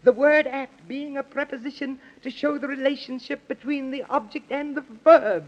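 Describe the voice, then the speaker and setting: An actor playing a pompous, frantic, stammering professor in a 1930s children's radio comedy. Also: male voice, very high voice, fairly even intonation, normal speed. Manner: stammering, repeating himself, overblown alliterative oratory. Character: frantic, pompous, loony male professor prosecuting in mock court. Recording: muffled vintage 1930s radio recording.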